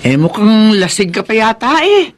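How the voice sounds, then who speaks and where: An exasperated, caring Filipino mother in a radio drama. She fusses and scolds with lively, swinging intonation, over faint background noise. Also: fairly high pitch, moderate pace. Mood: fussing. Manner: fussing, scolding.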